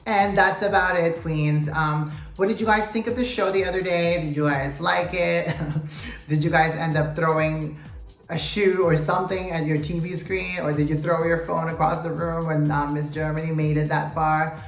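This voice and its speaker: Pageant vlogger, casual recap, sassy, gossipy, middling pitch, echoey room, faint background music. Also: steady tone, moderate pace. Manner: sassy, gossipy, addresses viewers as "you guys" and "queens". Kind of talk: casual recap commentary to camera. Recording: roomy echo, faint music.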